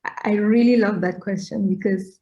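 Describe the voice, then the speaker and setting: A middle-aged woman from Cameroon speaks with a mellow, warm tone. She is earnest and reflective as she gives advice, and her points often end in a quick "right?". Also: medium pitch, fairly even intonation, dull audio. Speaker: interviewee. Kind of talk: conversational Q&A talk, advice-giving.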